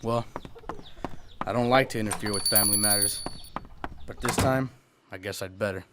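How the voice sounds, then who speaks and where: A man playing a steady, measured frontier marshal in a radio Western, laconic and authoritative. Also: on the low side, very noisy, lightly acted. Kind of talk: old-time radio Western acting.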